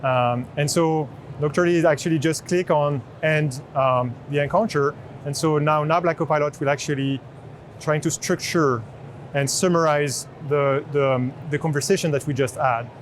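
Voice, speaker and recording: French guy in his 30s, slightly high voice, halting demo talk, some trade show noise behind him. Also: steady tone. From France. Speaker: chief product officer demonstrating a product. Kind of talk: unscripted product demo. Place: open booth in large indoor exhibition hall. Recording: noisy trade show hall background.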